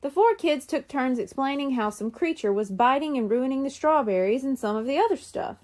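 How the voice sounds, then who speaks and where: A woman reads a children's chapter book aloud as narrator. Her voice is high and very lively in pitch. The delivery is animated storytelling. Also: fairly quick. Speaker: audiobook reader.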